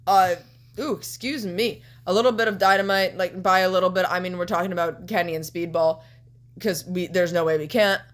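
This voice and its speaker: A woman's voice: on the low side, steady tone, a little fast. A young woman in her twenties hosting a casual podcast, a bit congested and sniffly, rambling with lots of ums and cheerful swearing. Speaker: podcast host.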